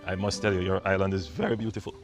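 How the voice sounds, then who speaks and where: A man on an official visit greeting officers courteously and asking brisk questions. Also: deep voice, little pitch movement, over music.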